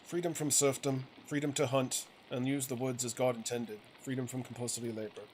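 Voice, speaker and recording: A man's voice: medium pitch, level tone, fairly quick, lightly acted. Man playing a curt, resentful printer, noisy background. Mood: earnest, righteous. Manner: curt, firm, reproachful. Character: weary, resentful printer and father, righteous about peasants' cause. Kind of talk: acted reading of video game dialogue. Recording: clearly noisy game ambience behind voice.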